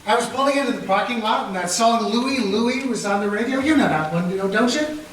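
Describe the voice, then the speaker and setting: A man's voice: extremely high pitch, expressive, fairly quick, a bit bright, slight background noise. A man playing a rambling, chatty local. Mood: puzzled. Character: chatty small-town man telling an odd story. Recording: echoey hall, distant mic.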